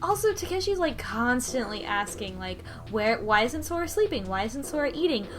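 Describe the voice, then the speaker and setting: A woman's voice: on the high side, sing-song, moderate pace, a bit bright. A woman co-hosting a podcast, chatting casually and informally with a joking manner. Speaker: podcast co-host. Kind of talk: casual chat. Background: music behind the voice.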